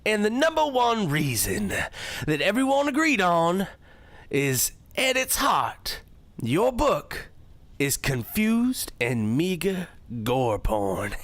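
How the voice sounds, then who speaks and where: A man playing a smug shock-jock radio host who interviews in a dramatic, drawn-out delivery, baiting his guest. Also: sits high, wildly swooping pitch, fairly bright tone, no echo, full character voice. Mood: smug, probing.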